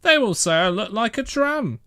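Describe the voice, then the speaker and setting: A man's voice: high voice, big pitch swings, moderate pace, pushed and bright, no echo, studio quality, pitched above the performer's own voice, full character voice. A man voicing a cheerful, polite young tank engine who saddens easily, from a children's storybook. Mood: sad. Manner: polite, earnest. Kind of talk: children's storybook character reading.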